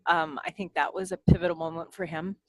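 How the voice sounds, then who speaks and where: A woman author in casual podcast chat, speaking in a halting, thoughtful way. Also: fairly low pitch, fairly even intonation, dry recording. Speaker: podcast crew member and author.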